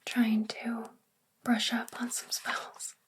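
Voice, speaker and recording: A woman's voice: fairly high pitch, completely flat delivery. Woman playing a whispering sweet witch librarian. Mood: kind, reassuring. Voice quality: breathy, whispery soft voice. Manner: gentle, soothing, unhurried. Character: sweet, caring young witch librarian, gentle and bookish. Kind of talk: soft spoken ASMR roleplay.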